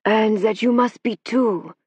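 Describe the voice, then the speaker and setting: A woman puts on a man's spirit voice, channeled by a medium at a storybook séance. It growls low with a foreign edge, slow and grave, husky and close on a dry, somewhat muffled recording. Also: darkish tone, dry recording. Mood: solemn. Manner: slow, solemn pronouncements.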